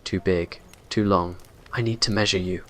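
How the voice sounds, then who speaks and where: Guy doing a brooding male vampire, deep flat murmur, controlled and full of longing, romance roleplay audio. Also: moderate pace. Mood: restrained longing. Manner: low, controlled, murmured commands. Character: brooding, restrained male vampire tailor, smouldering with desire.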